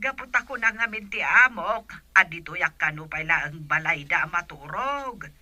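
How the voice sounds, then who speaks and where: A woman's voice: lowish voice, lively intonation, normal speed, sharp tone, full character voice. A woman playing a respectful, devoted elderly housemaid and grandmother in a radio drama, speaking deferentially with an Ilocano accent. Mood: apologetic.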